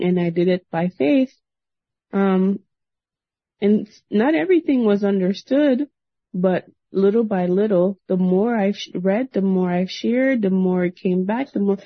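A woman sharing a hesitant testimony at a Bible study, softly, with many pauses and ums. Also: mid-range voice, no echo, muffled recording. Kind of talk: casual testimony.

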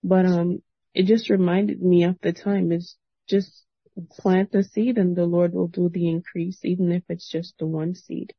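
A woman sharing a hesitant testimony at a Bible study, softly, with many pauses and ums. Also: fairly low pitch, level tone, slightly rough, no echo, lo-fi sound. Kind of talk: casual testimony.

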